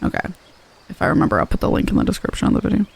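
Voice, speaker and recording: A woman chatting casually as she streams a video game with a companion. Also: very fast. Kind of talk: casual livestream chat.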